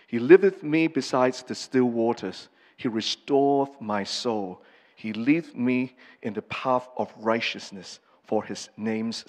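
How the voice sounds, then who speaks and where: Earnest halting pastor in a reverberant hall. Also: male voice, medium pitch, darkish tone. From Hong Kong. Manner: earnest and halting, with many pauses and restarts. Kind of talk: personal testimony sermon. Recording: long reverb of a large hall.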